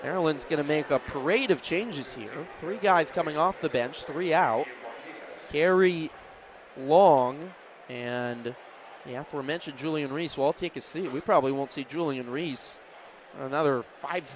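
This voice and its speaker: A man's voice: a little high, a little slow, a little hiss or hum. An American man doing live basketball play-by-play, calling the action emphatically in short clipped phrases. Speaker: play-by-play announcer.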